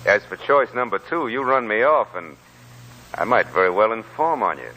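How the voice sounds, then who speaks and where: A cool, bold stranger in a 1940s radio drama. His voice is low and husky, with a forceful edge and animated delivery. He speaks directly, like someone driving a bargain, on an old broadcast. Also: moderate pace, low-quality recording. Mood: calm, persuasive. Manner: direct, calculating.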